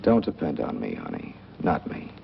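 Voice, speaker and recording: An actor playing a cold, guarded drifter in a 1940s film noir, speaking in clipped deadpan lines with a gravelly voice. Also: male voice, extremely low pitch, moderate pace, heavily acted. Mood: cold, sardonic. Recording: muffled, noisy old film soundtrack.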